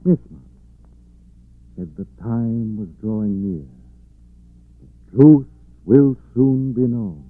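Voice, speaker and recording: An actor playing a suave, thoughtful gentleman detective, measured and musing, in 1940s radio style. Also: mid-range voice, no echo, natural voice. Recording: muffled narrow-band 1940s radio recording.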